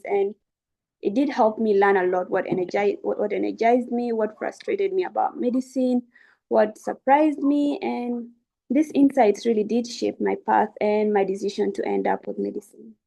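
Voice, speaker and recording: A woman's voice: mid-range voice, vocal fry, warm tone, poor audio. A young woman giving an informal, encouraging educational webinar talk as a student speaker. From South Sudan. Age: young adult.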